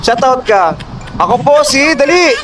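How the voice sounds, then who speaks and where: A man's voice: extremely high pitch, expressive, unhurried, noisy background. A Filipino man chatting casually and playfully as a motorcycle rider vlogger, teasing and joking, speaking into a helmet intercom. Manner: teasing, jokey. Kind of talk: casual playful chat with a passenger. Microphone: helmet intercom headset. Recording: music behind the voice. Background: music.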